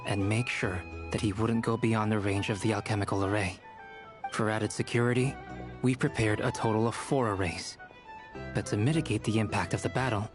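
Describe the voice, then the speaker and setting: A man voicing a calm, analytical strategist in a video game, explaining things in a measured, matter-of-fact way. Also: on the low side, lightly acted. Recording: clear music behind the voice.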